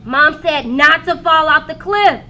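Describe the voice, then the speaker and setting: A woman's voice: high-pitched, measured pace, bright, forceful tone, exaggerated voice. A woman voicing a plain-spoken storybook boy, an older brother who is glum but resourceful. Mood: reasoning.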